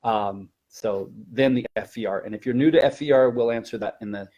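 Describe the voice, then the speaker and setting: A man's voice: mid-range voice, fairly quick, dark tone, poor audio. A man speaking as a language teacher in an informal instructional webinar, explaining and repeating words for emphasis.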